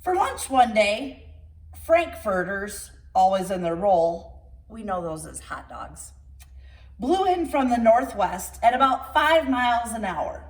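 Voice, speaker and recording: A woman reading a picture book aloud to children in an expressive storytime style, with playful asides to her young listeners. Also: mid-range voice, slow. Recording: roomy echo, distant microphone.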